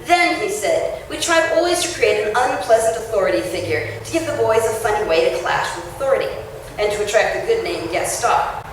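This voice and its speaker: A woman author giving an expressive, emphatic public reading of a written essay at an event, with clear phrasing. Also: fairly high pitch, brisk. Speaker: author reading her essay at a book launch. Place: indoor launch-party event space. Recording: roomy echoing event space, distant mic, faint noise.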